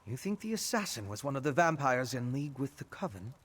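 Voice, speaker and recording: A man's voice: mid-range voice, big pitch swings, fast talker, fairly bright tone. A man playing a genial, curious scholar-adventurer in a fantasy video game, theatrical and courteous in delivery. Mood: intrigued, pondering. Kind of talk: scripted video game dialogue.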